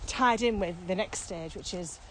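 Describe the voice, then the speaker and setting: A woman's voice: fairly low pitch, moderate pace, low-quality recording. Middle aged woman chatting outside on a walk, explains stuff step by step, bit of background noise. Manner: explains step by step, emphatic, conversational. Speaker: podcast co-host and experienced trainer. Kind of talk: casual explanatory chat. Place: outdoors, walking. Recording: outdoor walking recording, clear background noise.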